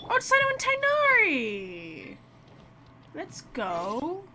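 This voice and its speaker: High-pitched, animated woman livestreaming a game. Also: slow, background noise. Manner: chatty, reacting aloud and talking to chat. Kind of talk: casual live game commentary.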